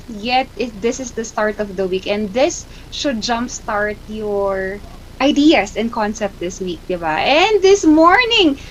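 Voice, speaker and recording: Bubbly high-voiced Filipina morning host. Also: female voice, a little hiss or hum, low-quality recording. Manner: bubbly, playful, chatty. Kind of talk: casual mixed Filipino-English interview.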